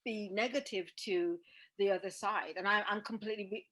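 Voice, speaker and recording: A woman's voice: mid-range voice, steady tone, fairly quick, fairly bright tone. A woman hosting a casual discussion podcast, talking emphatically and often repeating words as she thinks. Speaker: podcast host.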